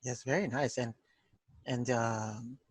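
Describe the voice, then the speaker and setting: A man with a Filipino accent hosting a relaxed art history lesson, asking his guest questions and laughing easily. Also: mid-range voice, takes it slowly, no echo. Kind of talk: casual classroom discussion.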